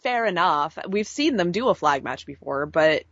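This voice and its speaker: A woman's voice: fairly low pitch, expressive, moderate pace, dry recording, dull audio. A woman co-hosting a casual review show, giving candid, informal opinions with wry asides. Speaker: podcast co-host reviewing wrestling. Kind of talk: casual chat, opinionated commentary.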